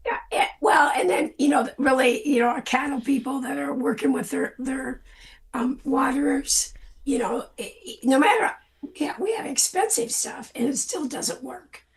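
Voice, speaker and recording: Older lady, hoarse and gravelly, highish voice, rambly guest on a radio show. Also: moderate pace, low-quality recording. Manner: rambling, self-deprecating, restarts a lot. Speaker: farm safety guest. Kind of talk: casual radio chat.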